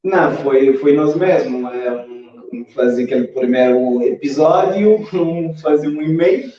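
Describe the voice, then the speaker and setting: A man's voice: on the high side, unhurried. A man with a Cape Verdean accent giving casual, hesitant answers as an interview guest. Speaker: interview guest, animation creator. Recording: very echoey, distant, dull sound.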